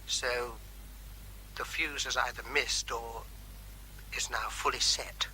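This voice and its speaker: A jaunty army captain keeps up a nervy, facetious commentary in a radio drama. The voice is medium-pitched and strikingly sharp and forward, over faint ambience. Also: male voice, steady tone, takes it slowly, a little hiss or hum, rough recording. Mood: tense concentration. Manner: facetious running commentary with small hesitations.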